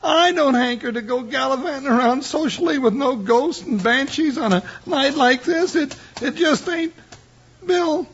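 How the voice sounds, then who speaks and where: A man playing an excitable, superstitious cowboy sidekick in a radio western, with a high creaky voice, folksy American speech and a blustery stammer, on a dull, noisy old recording. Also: moderate pace. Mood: nervous. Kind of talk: 1950s radio western acting.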